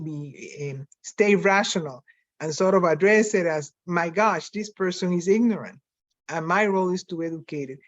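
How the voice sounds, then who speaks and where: A woman's voice: on the low side, no echo. An older woman with a Spanish accent, speaking reflectively on a panel over a video call, often restarting her sentences. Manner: reflective, with frequent restarts and fillers. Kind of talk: conversational panel talk. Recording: dry, dull lo-fi call audio.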